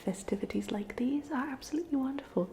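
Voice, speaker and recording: A woman's voice: high-pitched, quick pace, slight background noise. A woman playing a polite, chatty ghost of a drowned student in a whispered, hesitant ASMR roleplay. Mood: amiable, chatty. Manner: whispered, hesitant, gently rambling.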